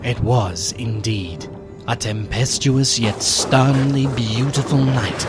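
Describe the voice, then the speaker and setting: An actor playing an anxious, theatrical gentleman who narrates a gothic radio play with solemn deliberation. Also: mid-range voice, natural voice. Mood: awed. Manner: solemn, theatrical, deliberate, hushed then fervent. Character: gentleman narrator, anxious friend of a doomed nobleman.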